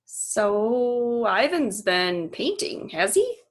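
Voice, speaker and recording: A woman's voice: a little high, very animated, extremely slow, exaggerated voice. A woman voicing a blunt, dismissive male janitor in a storybook, with a skeptical, emphatic delivery.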